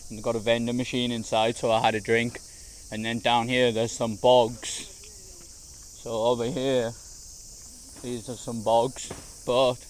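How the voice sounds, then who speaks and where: Male vlogger, slightly hoarse, casual and slangy, on a handheld camera outdoors with faint insect ambience behind him. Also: mid-range voice, slight background noise. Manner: chatty, slangy, easygoing. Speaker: travel vlogger. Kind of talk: casual walking vlog narration. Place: outdoors in large city gardens, walking in heat.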